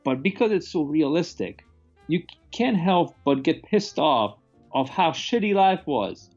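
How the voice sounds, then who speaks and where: A man's voice: fairly high pitch, very animated, a little slow, darkish tone, dull audio, soft background music. A man chatting casually about films in a rambling, opinionated way, with some profanity. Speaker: movie review host. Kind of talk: casual film review chat.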